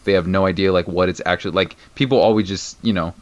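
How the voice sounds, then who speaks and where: A young married man with a very deep voice, chatting in a laid-back, slangy way full of "man" and "bro". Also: fairly even intonation, fast, muffled recording. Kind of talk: casual podcast chat.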